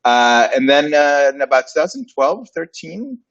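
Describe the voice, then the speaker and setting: A man in his forties, a native Spanish speaker of Puerto Rican and Dominican background, telling his career story as an interview guest in a relaxed, playful way. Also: a little high, moderate pace. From Puerto Rico and Dominican Republic. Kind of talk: casual interview. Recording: dull lo-fi sound.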